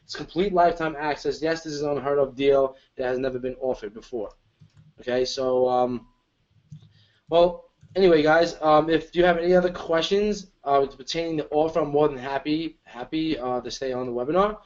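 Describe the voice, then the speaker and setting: A man co-presenting an online webinar, pitching hard in casual, slangy bro talk over a video call. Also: normal speed, lo-fi sound. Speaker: co-presenter and marketer.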